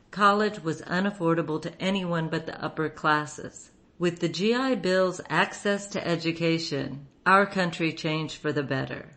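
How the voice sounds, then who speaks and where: Low-voiced woman hosting a radio show. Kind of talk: scripted introduction.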